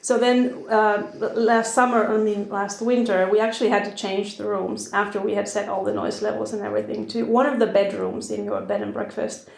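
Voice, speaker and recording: A woman's voice: medium pitch, fairly quick, vocal fry, hardly any pauses, a little mellow. A woman with a Finnish accent hosting a podcast, introducing the episode and chatting with a guest in her home. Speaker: podcast host. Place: her house. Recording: roomy echo.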